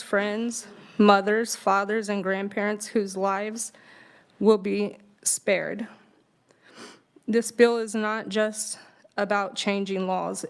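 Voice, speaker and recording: A woman giving earnest, pleading prepared testimony in a legislative committee room. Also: medium pitch.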